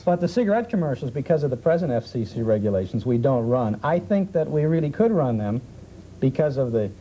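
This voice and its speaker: A man's voice: medium pitch, very animated, brisk, mellow. A man, a radio presenter, chatting as a guest on a talk panel. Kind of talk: conversational panel chat. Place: TV studio. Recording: noisy 1970s TV studio tape.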